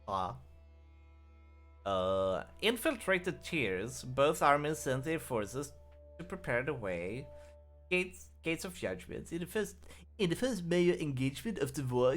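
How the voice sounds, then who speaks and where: A man's voice: fairly high pitch, very animated, a little slow, soft background music. A man hosting a let's play, reading the game's text aloud and often restarting words as he stumbles.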